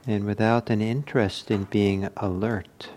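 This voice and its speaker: A man leading a guided meditation as a teacher, speaking in short, measured phrases with long pauses. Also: lowish voice, level tone, darkish tone.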